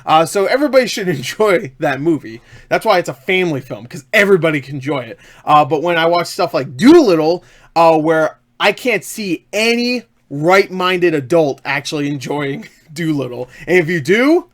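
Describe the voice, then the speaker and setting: A man's voice: fairly high pitch, expressive, normal speed. A man giving a casual, rambling movie review to camera, enthusiastic and jokey, often interrupting himself with little asides. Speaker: online movie reviewer and host. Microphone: webcam setup.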